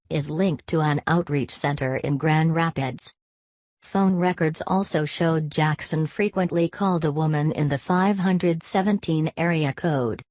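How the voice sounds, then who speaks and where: A woman's voice: sits low, a little mellow, no room sound. A woman narrating a scripted news report, reading stiffly word for word.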